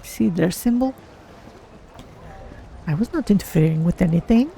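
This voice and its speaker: A woman voicing a curious, polite cat-person adventurer, musing in measured, formal words as she plays a video game. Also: fairly low pitch, animated, gravelly, warm tone, slight background noise. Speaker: game streamer. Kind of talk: in-character gameplay commentary.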